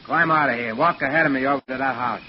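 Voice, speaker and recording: A man's voice: medium pitch, fast, lightly acted. An actor in a 1940s radio drama playing a tough, menacing American gangster henchman who talks in curt, bossy slang. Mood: bossy, impatient. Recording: hissy, dull 1940s radio recording.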